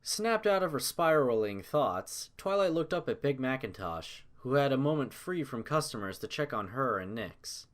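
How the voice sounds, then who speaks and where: A man reading scripted story narration in a measured, dramatic manner. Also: mid-range voice, big pitch swings.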